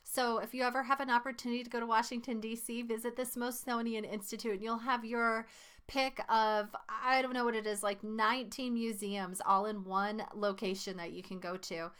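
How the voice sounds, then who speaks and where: Higher-pitched woman teacher reading a lesson. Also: flat delivery, normal speed, fairly bright tone, smooth. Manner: instructional, explanatory. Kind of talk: reading a lesson aloud with casual asides.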